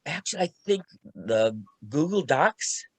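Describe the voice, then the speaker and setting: A man's voice: on the high side, big pitch swings, a little slow, husky, no room sound. A man talking casually on a panel as a co-writer, often repeating himself and restarting phrases as he recounts a creative process. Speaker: radio play co-writer and panelist.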